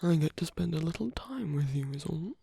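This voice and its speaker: A performer playing a suave, flirtatious villain at a royal masquerade in an ASMR audio roleplay, speaking softly and breathily with teasing, lingering pauses, close to the microphone. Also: mid-range voice, lively intonation, dry recording. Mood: sly, coaxing. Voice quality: breathy, soft, often near whisper. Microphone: close dry microphone.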